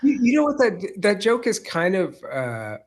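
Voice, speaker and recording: A man's voice: high-pitched, wildly swooping pitch, moderate pace. A man speaking casually as a writer guest on a podcast. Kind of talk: casual chat.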